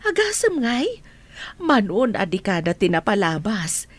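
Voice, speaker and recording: A woman's voice: medium pitch, huge pitch swings, a little slow. A woman with a Filipino accent playing a supportive female friend in an audio drama. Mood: delighted. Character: Marcy, supportive female friend of an older bride.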